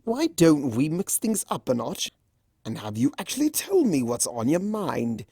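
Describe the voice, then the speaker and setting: A smug, flamboyant male trickster creature from a storybook acted out by a narrator, swooping widely in pitch and recorded very dry. He teases theatrically, stretching his words for grand effect. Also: huge pitch swings, warm tone, slightly rough, no echo, full character voice. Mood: smug teasing. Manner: theatrical, teasing, drawn-out emphasis. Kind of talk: storybook character voicing.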